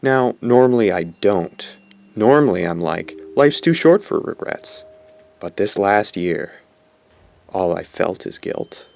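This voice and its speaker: Man playing a low-voiced, smug, dramatic teen boy. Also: expressive, takes it slowly, creaky, a little mellow, a little hiss or hum, heavily acted. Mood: guilty, confessing. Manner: pompous, dramatic. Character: smug, self-absorbed teenage boy narrating his confession. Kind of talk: audio drama acting, first-person narration.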